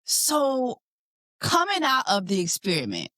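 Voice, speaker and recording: A woman's voice: high voice, wildly swooping pitch, unhurried, pushed and bright, dry recording, rough recording. A woman hosting a podcast, asking eager questions in a casual interview and often jumping in to interrupt. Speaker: podcast host.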